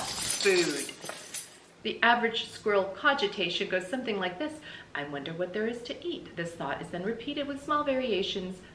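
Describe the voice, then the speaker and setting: A middle-aged American woman reading a children's story aloud in an animated, emphatic, explanatory way, like a teacher. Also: on the low side. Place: small indoor room with bookshelves. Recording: roomy echo, faint background noise.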